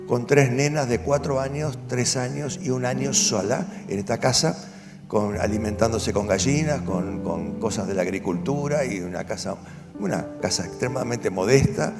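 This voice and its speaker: An older man, a pastor telling his life story in earnest, storytelling fashion, rising to firm conviction at times. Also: medium pitch. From Argentina. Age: 70s. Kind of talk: personal testimony at a church event. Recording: long hall reverb with music behind. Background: music.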